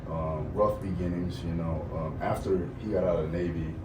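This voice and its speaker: Laid-back deep-voiced coach, thirties, rambling casual guest talk, recorded far off-mic in an echoey noisy room. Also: male voice, moderate pace. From Florida, USA. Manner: relaxed, rambling, laughs often, many fillers. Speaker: MMA coach and trainer, guest. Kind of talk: casual interview chat.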